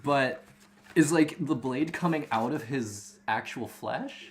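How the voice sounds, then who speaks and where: A man's voice: mid-range voice, takes it slowly. A man chiming in casually as a co-reader, discussing the story informally.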